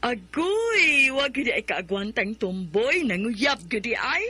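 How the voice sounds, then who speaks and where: A woman's voice: on the high side, very animated, takes it slowly, over music. A woman playing a young female friend who teases and gossips in a radio drama. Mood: teasing. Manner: teasing, gossipy.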